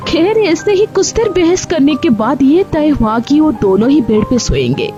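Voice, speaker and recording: A woman's voice: sits high, big pitch swings, a little slow, dull audio. A woman reading a scripted story as its narrator, with music behind her.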